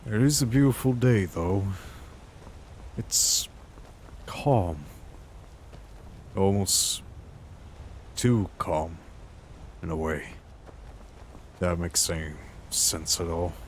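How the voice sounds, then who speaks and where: A man voicing an earnest, wistful mercenary adventurer in his thirties for a fantasy game roleplay, in a halting, reflective way. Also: low voice, a little hiss or hum, heavily acted. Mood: musing. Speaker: role-playing gamer voicing his character. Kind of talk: in-character fantasy game monologue.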